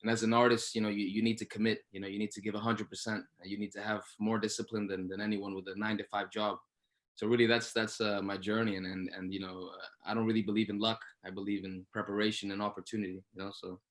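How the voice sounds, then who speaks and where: A man's voice: fairly low pitch, little pitch movement, fast talker. A man speaking earnestly and emphatically as an actor in a post-show Q&A, often repeating phrases as he reflects. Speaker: actor, interview guest.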